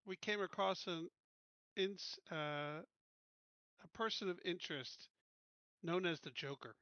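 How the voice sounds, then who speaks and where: A man playing a tabletop game, chatting casually and thinking aloud in a halting way. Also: sits high, sharp tone, no room sound, highs cut off.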